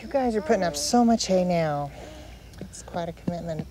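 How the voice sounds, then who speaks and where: A woman's voice: fairly low pitch, animated, moderate pace, a crowd in the background. A woman, chatting casually outdoors. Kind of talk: casual couple chat. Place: outdoors on farmland.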